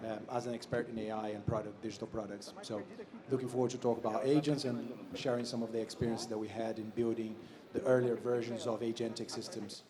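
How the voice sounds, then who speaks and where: A man's voice: medium pitch, little pitch movement, brisk. A man from Brazil with a Brazilian accent, speaking professionally as a panelist on stage. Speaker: panelist, AI consultant. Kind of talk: professional self-introduction on a panel. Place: stage at a conference. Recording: audible crowd in event hall.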